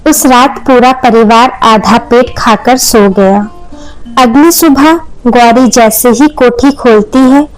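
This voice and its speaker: A woman's voice: sits high, poor audio. A woman narrating a scripted moral story as a storyteller.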